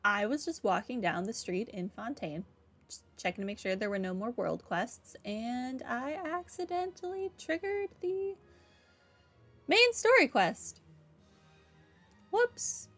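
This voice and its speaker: A woman's voice: fairly high pitch, lively intonation, takes it slowly, a bit bright, soft background music. A woman giving casual video game playthrough commentary with dry, wry asides. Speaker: gamer.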